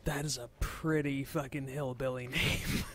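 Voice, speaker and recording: A man's voice: mid-range voice, fairly even intonation, a little slow, fairly bright tone. A man co-commentates on gameplay in a mid-range voice. His talk is casual and drily joking, with faint noise in the background. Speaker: let's play co-commentator.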